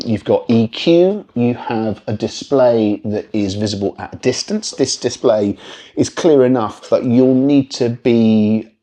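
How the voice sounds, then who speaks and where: A British man presenting a conversational product review, speaking in a measured, articulate, discursive way with wry asides. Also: medium pitch, warm tone.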